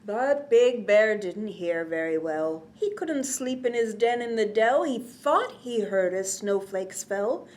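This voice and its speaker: A woman's voice: medium pitch, expressive, slow pace, hardly any pauses, a little mellow. A woman reading a children's picture book aloud expressively, in a sing-song way with chatty little asides. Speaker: children's storytime reader.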